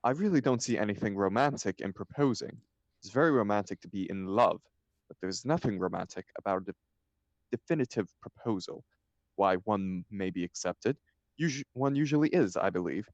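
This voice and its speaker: A man's voice: on the low side, lively intonation, brisk, a little mellow, no room sound, dull audio. A man reading the part of a flippant, idle upper-class bachelor in a stage comedy, with an arch, mock-serious declamatory manner.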